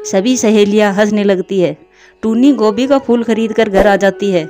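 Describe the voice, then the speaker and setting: A woman's voice: medium pitch, normal speed, dark tone, faint music behind. A woman narrating a scripted children's story.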